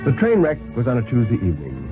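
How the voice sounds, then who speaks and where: A man narrating thriller stories in a measured, theatrical 1950s radio reading style. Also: medium pitch, huge pitch swings, over music. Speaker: story narrator. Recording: muffled 1950s radio recording with hiss.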